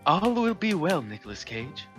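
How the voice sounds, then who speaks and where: A man voicing a calm, regal, commanding pony princess. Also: a little high, normal speed, soft background music, voice pushed up.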